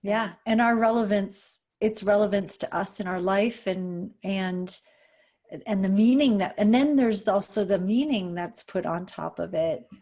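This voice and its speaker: A woman's voice: mid-range voice, measured pace, mellow. A woman on a panel, musing aloud in loose, trailing phrases. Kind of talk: casual group discussion.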